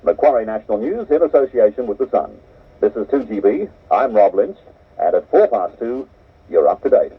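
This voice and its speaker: Australian male newsreader on old radio. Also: on the low side. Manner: measured, steady, crisp delivery. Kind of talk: formal news bulletin reading. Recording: muffled cassette of an off-air radio broadcast, voice a little distant.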